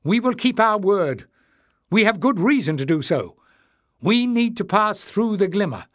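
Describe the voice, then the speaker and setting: A man's voice: high voice, big pitch swings, a little slow, a bit bright, voice pushed up, full character voice. A man voicing a bold, earnest and determined young woman in a fantasy storybook, speaking with urgency. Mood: urgent, desperate.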